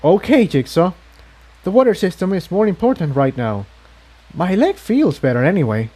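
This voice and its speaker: A tough, stoic unicorn mare from an adventure storybook, put on by a male reader. She speaks plainly, in a raised pitch with a mellow edge. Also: big pitch swings, normal speed. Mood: determined. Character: tough, stoic injured female unicorn pony.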